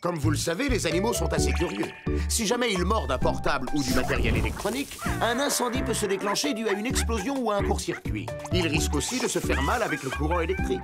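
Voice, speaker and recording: A man voicing an earnest fire-truck rescue robot in a cartoon, calmly explaining safety rules. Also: high-pitched, fast, over loud music. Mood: instructive. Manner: calm, explaining. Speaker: safety instructor. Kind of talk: cartoon dubbing.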